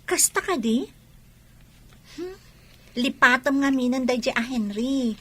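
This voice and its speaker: A woman's voice: high-pitched, animated, slow pace, full character voice. A woman with a Filipino accent acting a nosy, chiding young confidante in a radio drama. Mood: inquisitive, chiding.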